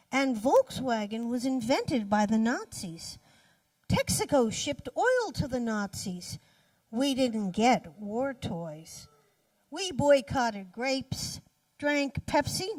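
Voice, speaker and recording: A woman reading her own poems live, with measured, deliberate phrasing and pauses between lines. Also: on the high side, no room sound. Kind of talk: live poetry reading with brief spoken introductions. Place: cafe poetry reading. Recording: distant microphone at live reading.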